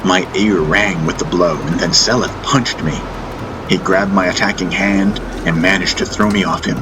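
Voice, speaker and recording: A man's voice: sits low, steady tone, normal speed, noisy background. A man narrating a fantasy audiobook in the first person, with intense, solemn, dramatic storytelling. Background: music bed.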